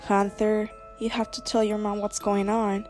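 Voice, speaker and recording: A woman playing a caring, worried mother with a gentle, coaxing manner in an amateur radio drama. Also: medium pitch, completely flat delivery, moderate pace, faint music behind, lightly acted. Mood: concerned.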